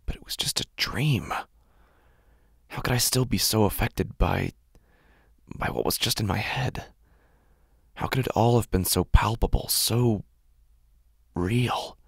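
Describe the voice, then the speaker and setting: Man, horror narrator, husky and rasping, brooding, deliberate, intense, very dry close recording. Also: on the low side, no room sound. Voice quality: gravelly, husky, breathy at times. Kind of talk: dramatic first-person horror narration.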